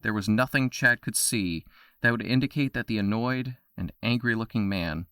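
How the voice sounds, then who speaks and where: A male narrator reads a scripted audio drama. His voice is on the low side, with a bright, forceful edge. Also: moderate pace, smooth, no room sound, clean studio sound.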